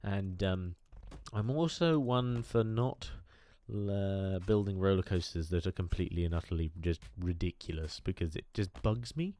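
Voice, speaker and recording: A man's voice: low-pitched, moderate pace, dark tone. Nasal, stuffy-voiced man. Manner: chatty, enthusiastic, rambling with fillers. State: nasal from a cold. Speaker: gaming YouTuber. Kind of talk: casual game commentary.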